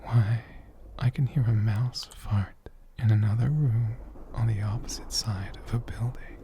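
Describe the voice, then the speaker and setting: A man doing soft-spoken, whispered ASMR in a gentle, deliberate manner, close to a condenser mic. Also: on the low side, steady tone, a little hiss or hum. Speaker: ASMR creator answering viewer questions. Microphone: USB condenser mic, close up.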